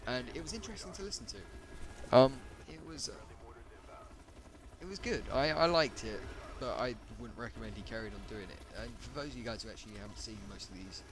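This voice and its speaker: A man chatting casually about gaming commentary, rambling and correcting himself as he goes. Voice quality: says he has a high voice.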